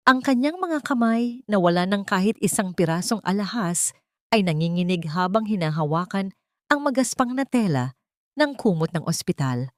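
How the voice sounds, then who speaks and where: A woman's voice: medium pitch, lively intonation, normal speed, dry recording, clean studio sound. A woman with a Filipino accent reads a scripted dramatic story as a storyteller.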